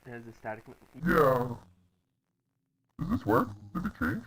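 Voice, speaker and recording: A young man casually chatting while streaming a game from home, reacting out loud to the game and to chat. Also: medium pitch, big pitch swings, normal speed, vocal fry, breathy edge, rough recording. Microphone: laptop microphone.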